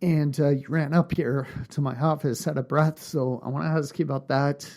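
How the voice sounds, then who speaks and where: A man hosting an interview from his office, asking easygoing questions and nudging the talk along. His voice sits a little high yet sounds gravelly, with a dark, mellow color. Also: fairly even intonation, normal speed. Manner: friendly questioning, short backchannels. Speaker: host. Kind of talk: casual interview.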